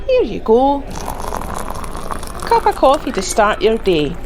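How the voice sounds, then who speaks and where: A woman's voice: a little high, wildly swooping pitch, takes it slowly, background noise, heavily acted. An actress playing a teasing, familiar small-town woman in a radio drama.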